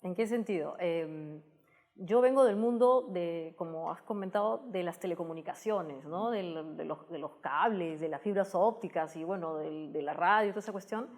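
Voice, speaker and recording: A woman's voice: lowish voice, moderate pace, darkish tone. Woman with a Peruvian accent. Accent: Peruvian Spanish. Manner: thoughtful, frequent restarts and tag questions. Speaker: guest, tech communicator. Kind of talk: interview answers, explanatory.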